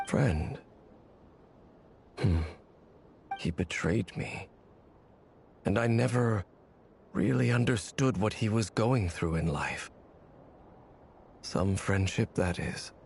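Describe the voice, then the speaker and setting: A man's voice: fairly low pitch, lively intonation, takes it slowly, gravelly, pauses often, a little mellow, slight background noise, exaggerated voice. An actor playing a brooding, reserved young man in a video game, speaking in a grave, terse and formal manner. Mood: troubled. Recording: game music behind the voice.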